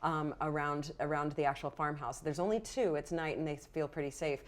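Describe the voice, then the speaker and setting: A woman with a slightly low voice runs a tabletop roleplaying game. She talks conversationally, improvising the scene as she goes, and encourages players while walking them through the rules. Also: fairly even intonation, fairly quick. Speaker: game master.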